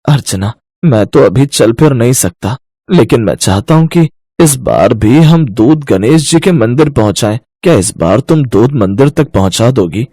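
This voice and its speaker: A man voicing a humble, devout village milkman in an earnest, plaintive way for an animated moral story. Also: mid-range voice, moderate pace, breathy edge, no room sound, studio quality.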